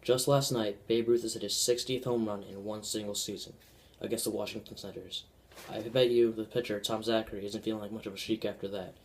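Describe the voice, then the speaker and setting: A man's voice: fairly low pitch, steady tone, a little fast, natural voice. A man playing an upbeat 1920s radio sports announcer, reading scripted news.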